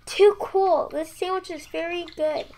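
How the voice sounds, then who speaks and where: A woman's voice: extremely high pitch, steady tone, a little slow, a little mellow, slight background noise. A young female performer playing a friendly, eager little neighbor girl in a radio play.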